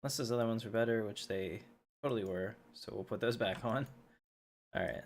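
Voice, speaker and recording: A low-voiced man talks casually through his gameplay as a livestream host. The recording is clearly noisy, with game ambience behind him. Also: fairly quick. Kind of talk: casual chat over gameplay.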